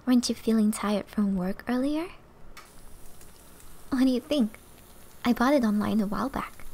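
A woman playing a flirty, teasing, affectionate wife in an ASMR roleplay, soft and often breathy, with playful, intimate delivery. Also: fairly high pitch, moderate pace. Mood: welcoming, playful.

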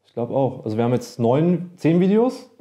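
Dark, mellow-voiced man chatting casually. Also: mid-range voice, sing-song, a little fast. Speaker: guest, fellow YouTuber. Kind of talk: casual chat.

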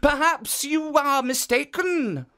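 Storyteller voicing a kindly statue spirit, high and earnest, slow. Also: expressive, fairly bright tone, full character voice. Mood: firm. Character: kind stone statue guardian, gentle but firm.

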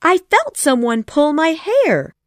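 Brief: a woman playing a well-mannered excitable girl, raised pitch, dry sound. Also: takes it slowly, no echo, exaggerated voice. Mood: eager. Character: young girl Wendy, polite and excitable.

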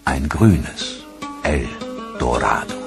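A man reading a scripted history documentary narration in a measured, grave storytelling manner. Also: extremely low pitch, animated, vocal fry, over loud music.

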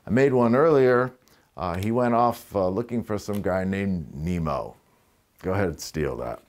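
A man's voice: sits low, normal speed, a little hiss or hum. Middle-aged man teaching balloon twisting. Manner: relaxed, chatty, wry asides with fillers. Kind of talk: casual tutorial demonstration. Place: living room with fireplace, indoors. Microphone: lapel mic.

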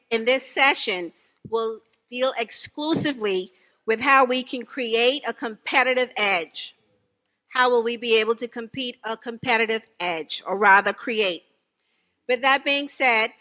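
Bahamian woman, panel moderator at a podium mic; fairly high, bright voice, polite and measured. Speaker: panel facilitator. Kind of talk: formal event moderating. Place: conference stage. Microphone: podium microphone.

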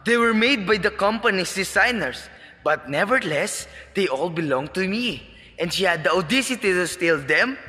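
A cold, pompous father acted by a man in a radio drama. His voice is fairly high and forceful, dripping with scorn. It rings with long reverb. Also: animated, moderate pace. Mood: indignant. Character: cold, haughty, sexist father and company owner.

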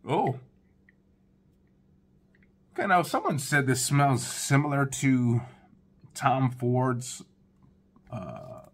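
A man's voice: mid-range voice, fairly bright tone. Laid-back man chatting to camera. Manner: laid-back, chatty, talks straight to viewers. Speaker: fragrance reviewer. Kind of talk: casual first-impressions review. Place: living room at home.

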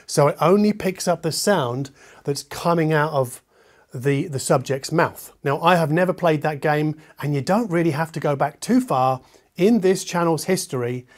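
A man's voice: a little high, moderate pace. A middle-aged man hosting an audio channel, explaining things to camera in a conversational, emphatic way with muttered asides, recorded on a lavalier mic in a living room. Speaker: audio review channel host. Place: treated living room indoors. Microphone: omnidirectional lavalier.

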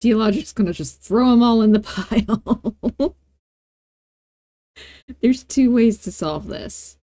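A woman narrating a software tutorial casually and playfully, thinking aloud as she goes. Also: on the high side, slow pace, dry recording. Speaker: tutorial host.

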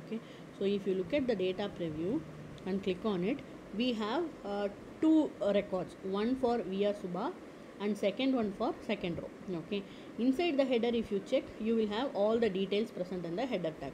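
A woman teaching a screen-recorded software lesson, explaining step by step with frequent "okay" and "uh" fillers. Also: mid-range voice, lively intonation, moderate pace, noisy background. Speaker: tutorial instructor. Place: at a computer.

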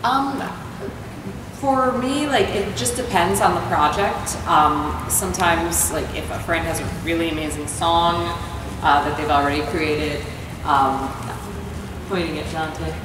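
A low-voiced woman rambles casually, filling her answers with ums. She is recorded far from the camera in a large hall that is very echoey and noisy. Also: fairly even intonation, unhurried. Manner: casual, rambling, many fillers. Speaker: panelist, artist. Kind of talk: panel discussion. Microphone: handheld mic, far camera. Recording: echoey hall, distant mic.

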